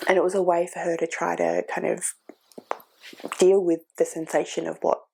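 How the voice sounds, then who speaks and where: A woman vlogger talks through a book in a casual, earnest way, stopping now and then to gather her thoughts. She is sniffly from a cold. Her voice sits low and carries a heavy gravelly fry. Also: flat delivery, moderate pace, mellow, slight background noise, rough recording. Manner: earnest, thinking aloud with fillers. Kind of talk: casual reading vlog chat.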